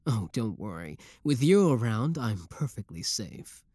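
A man's voice: lowish voice, very animated, unhurried, mellow, no room sound. A man playing an obsessive, lovestruck young schoolboy villain in a roleplay audio drama, talking intimately to the listener. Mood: smug, admiring. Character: shy schoolboy turned smug, obsessive yandere young villain.

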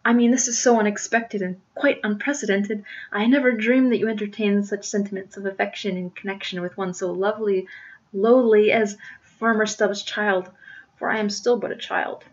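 A woman's voice: mid-range voice, fairly even intonation, normal speed, highs cut off, lightly acted. A woman voicing a young farm maiden in a melodramatic storybook, coy and gushing, with affectedly dainty speech. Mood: coy, smitten.

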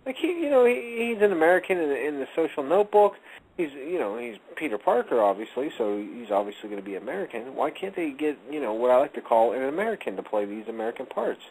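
A man with a gravelly, husky voice co-hosts a loose radio chat show. His pitch swings a lot as he rambles and jokes through his stories. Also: quick pace, low-quality recording. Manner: rambling, jokey, animated storyteller. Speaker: co-host.

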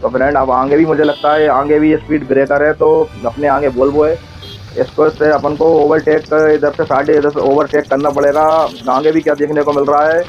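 A man's voice: on the high side, little pitch movement, normal speed, highs cut off, soft background music. A man with a central Indian accent giving casual, chatty running commentary as a motovlogger while riding, often repeating himself and addressing viewers as friends. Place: outdoors on a motorbike on a road.

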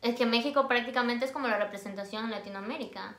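A woman's voice: fairly high pitch, fast talker, a bit bright. Vlog host, young woman from Ecuador, chatty and laughing easily; tripod camera, room echo. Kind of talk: casual chat. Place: living room, on a sofa. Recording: echoey room.

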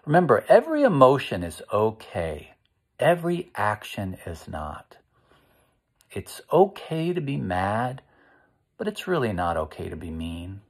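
A middle-aged American man reading aloud and gently guiding children, explaining things and putting questions to his listeners. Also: lowish voice, huge pitch swings, a little slow. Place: small living room, indoors.

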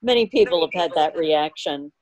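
A woman's voice: sits low, expressive, normal speed, darkish tone, highs cut off, a little hiss or hum. A woman chatting casually as she hosts a club meeting over a video call. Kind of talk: casual chat.

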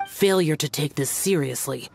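A man's voice: fairly high pitch, moderate pace, creaky, background noise. A man playing a stern, aloof immortal demon slayer in a video game, speaking tersely with solemn authority. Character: stern, aloof young-sounding immortal demon-slaying adeptus. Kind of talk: video game character acting.

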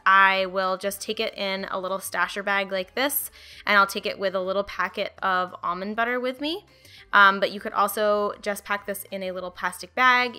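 A woman's voice: medium pitch, pushed and bright. A young woman in her twenties, a cooking channel host talking viewers through recipes in a friendly, chatty way from her home kitchen. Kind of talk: upbeat recipe walkthrough.

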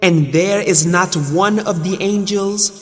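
A man reading a scripture translation solemnly, with formal, measured phrasing and pauses. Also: high-pitched, faint music behind. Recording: long reverberant hall echo.

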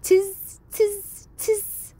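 Mischievous storybook monkeys, voiced by a woman, chattering very high and loud. The sounds come in short, squeaky repeated bursts, teasing and copycat in temper. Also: level tone, extremely slow, exaggerated voice.

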